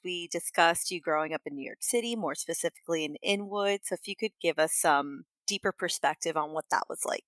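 A woman co-hosting a podcast, asking an interview guest measured, polite questions. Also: lowish voice, pushed and bright, no echo.

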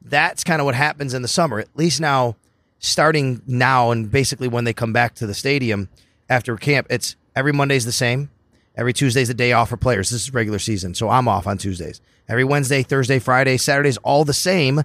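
A man with a hoarse, raspy voice, chatting casually as a podcast guest about his work as a sports reporter, telling stories in a quick, animated way. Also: mid-range voice, no room sound. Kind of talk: casual chat. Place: bar or restaurant.

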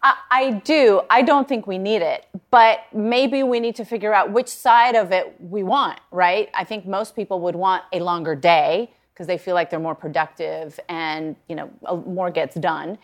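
A woman's voice: on the low side, moderate pace, hardly any pauses. A woman from Puerto Rico speaking as a commentator on a television panel, giving her opinions conversationally.

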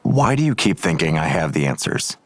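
A man's voice: very deep voice, sing-song, brisk, no room sound, exaggerated voice. A man playing a weary, grudging police detective in an audio drama, curt and sardonic in manner. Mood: curt, scornful.

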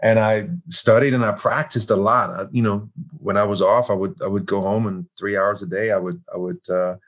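Deep-voiced German-accented man, halting. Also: fairly even intonation, a bit bright. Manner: halting, many restarts. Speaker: actor guest. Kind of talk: casual interview.

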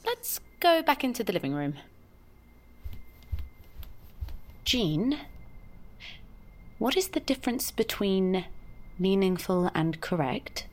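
Needy, animated, stammering brittle woman. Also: medium pitch, unhurried, long pauses. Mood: probing, unsure. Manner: hesitant, stammering, repeating herself anxiously. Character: Lydia, needy, brittle, unstable former estate agent woman. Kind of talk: naturalistic audio fiction acting.

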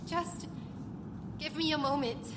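A woman's voice: high voice, fairly even intonation, slow, heavy background noise. A woman playing a strained, determined scientist stranded on a colony ship in a science fiction audio drama, speaking in terse, urgent questions. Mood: focused, uneasy. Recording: very echoey space, loud background ambience.

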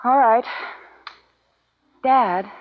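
A woman's voice: on the high side, extremely slow, no room sound, phone-quality sound. A woman playing an earnest, defiant young researcher in a 1950s radio drama, speaking with bitter, sardonic intensity on an old recording. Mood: subdued.

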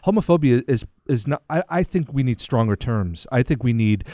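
Male interviewer, probing and emphatic, mid-pitched voice, dry close sound. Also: lively intonation, moderate pace, dark tone, no room sound. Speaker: interviewer host. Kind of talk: conversational interview.

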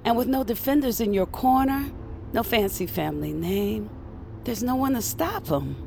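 An actress playing a worldly, protective ally who knows magic, speaking with deliberate, cautioning emphasis in an audio drama. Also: female voice, on the high side, expressive, gravelly, background noise. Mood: urgent warning.

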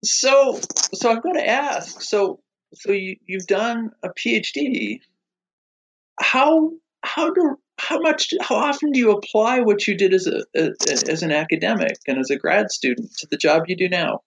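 A man hosting a book podcast, introducing his guest and asking questions in a friendly, halting way. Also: high-pitched, gravelly, fairly bright tone, breathy edge, rough recording. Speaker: podcast host. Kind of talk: podcast interview.

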